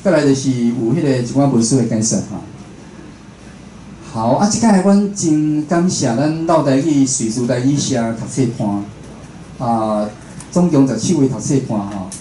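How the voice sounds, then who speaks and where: A man's voice: mid-range voice, normal speed, dark tone. A man with a Taiwanese accent giving an informal, chatty public talk, often closing his phrases with a small confirming tag. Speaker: radio host and programme producer giving a talk. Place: indoor talk venue with a seated audience. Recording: roomy echo, faint background noise.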